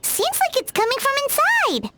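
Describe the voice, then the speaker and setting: A woman voicing a small, girlish, chatty fairy companion in an anime-style video game, with a squeaky childlike voice. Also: takes it slowly, heavy background noise, heavily acted. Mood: curious, breezy. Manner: speaks of herself in third person, expressive. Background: game music.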